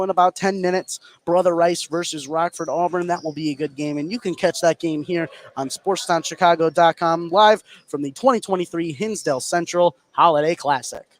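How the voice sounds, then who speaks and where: An American man giving live play-by-play commentary as a basketball announcer. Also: fairly high pitch.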